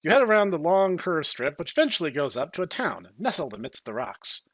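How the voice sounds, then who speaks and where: Man, high-pitched for a male, animated, playful scripted game narration, dry close sound. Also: fairly quick, dry recording. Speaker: podcast host and narrator.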